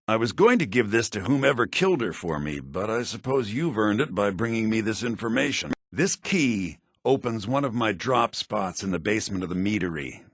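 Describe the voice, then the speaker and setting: A man's voice: on the low side, big pitch swings, normal speed, hardly any pauses, slightly rough, no room sound, clean studio sound, full character voice. A man playing a bitter, vengeful former lover in a video game, brooding and menacing. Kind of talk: video game character acting.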